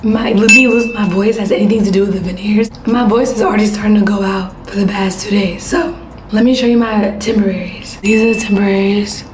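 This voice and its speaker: A woman from Houston who has lost her voice, chatting to camera in a hoarse near-whisper as a beauty vlogger. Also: medium pitch, steady tone, a little fast, reverberant, background noise. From Houston, Texas. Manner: chatty, addresses viewers as y'all. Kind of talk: casual vlog chat to camera. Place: hotel room, then bathroom.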